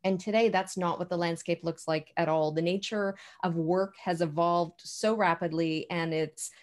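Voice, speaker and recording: A woman's voice: lowish voice, steady tone, normal speed. A woman co-hosting an online coaching talk, chatting casually and affirming her partner, with frequent "you know" fillers. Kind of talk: casual conversational chat. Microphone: video call.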